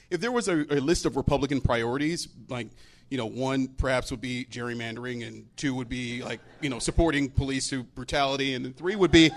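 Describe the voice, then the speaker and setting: A man with an average-pitched voice speaks as a panelist. His commentary is conversational and emphatic, and he repeats key words to press his point.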